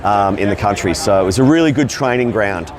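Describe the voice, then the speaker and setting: A man's voice: on the low side, lively intonation, a little fast, crowd noise. A middle-aged Australian man, a voice actor telling his career story in a loose, rambling way at a convention. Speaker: voice actor interviewee. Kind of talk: casual interview answers. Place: convention floor.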